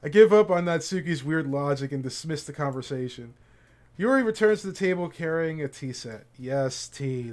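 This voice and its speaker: A man's voice: medium pitch, darkish tone. A young man streaming a game, reading its story aloud and adding wry, joking asides. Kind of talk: reading a visual novel aloud with commentary.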